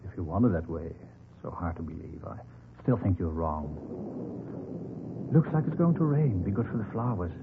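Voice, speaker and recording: An actor playing a gentle, trusting but stubborn elderly businessman in an old radio drama, speaking mildly and hesitantly and repeating himself. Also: deep voice, animated, normal speed, pauses often. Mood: troubled, reluctant. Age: older. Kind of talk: vintage radio drama acting. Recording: tinny, noisy vintage radio recording.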